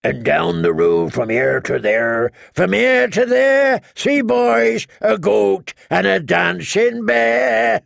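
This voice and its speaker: A man playing a huge, jolly court jester in an audiobook, with a big booming theatrical delivery. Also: big pitch swings, unhurried, creaky, hardly any pauses, no echo, clean studio sound, heavily acted. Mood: bellowing, merry.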